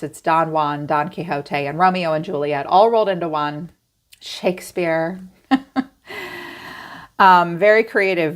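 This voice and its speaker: A woman with a low-set voice gives a casual tarot reading. She is middle-aged, chatty and animated. She addresses her viewers directly and playfully acts out what they might be thinking. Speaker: tarot reader.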